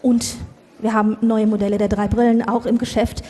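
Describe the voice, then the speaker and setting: A breezily vain celebrity author, always selling herself, played by a woman. Her voice sits high and sounds self-important. It is improvised comedy radio drama in a reverberant hall. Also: flat delivery, a little fast, mellow, natural voice. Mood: smug, salesy. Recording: echoey hall, live workshop performance.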